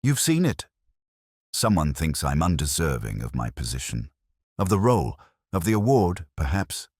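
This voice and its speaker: Man voicing a proud, arch, husky society woman. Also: very deep voice, huge pitch swings, normal speed, darkish tone, no room sound, exaggerated voice. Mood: proud, dry. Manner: arch, dry, composed.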